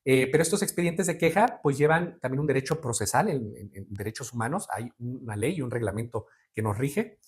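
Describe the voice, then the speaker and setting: A man's voice: mid-range voice, animated. Formal Mexican man answering steadily. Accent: Mexican Spanish. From Veracruz, Mexico. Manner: measured, formal, with frequent fillers and tag questions. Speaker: judicial candidate guest. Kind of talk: interview answers.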